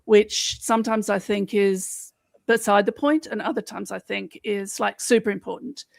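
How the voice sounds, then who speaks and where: A woman's voice: medium pitch, fairly even intonation, moderate pace, gravelly, no room sound, studio quality. An Australian woman chatting casually as a podcast guest, self-deprecating and a bit hesitant, over a home video call. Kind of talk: casual chat on a live stream.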